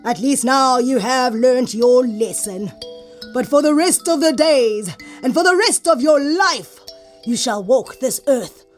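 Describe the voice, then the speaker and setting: A woman with a South African accent playing a stern, teasing god in children's audio theatre, lecturing deliberately with musing hums. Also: sits high, lively intonation, soft background music. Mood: solemn judgement. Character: stern, teasing, all-knowing god of the mountain.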